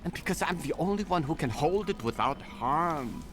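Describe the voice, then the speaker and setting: Game wanderer: secretive, wry treasure hunter, very varied intonation, music behind. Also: male voice, fairly high pitch, animated, normal speed, a bit bright. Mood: grave. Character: world-weary male wandering treasure seeker, secretive, wry. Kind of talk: video game acting.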